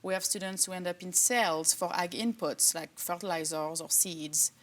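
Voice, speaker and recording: A middle-aged woman from France, a professor answering interview questions in a relaxed, thoughtful way with many fillers. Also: lowish voice, normal speed. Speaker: agriculture professor and department chair.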